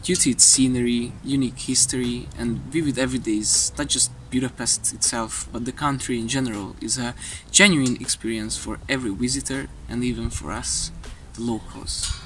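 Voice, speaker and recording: A man's voice: mid-range voice, steady tone, normal speed, fairly bright tone, far from the mic, a little hiss or hum, rough recording. A man from Hungary, speaking in a friendly, scripted way as he introduces his homeland.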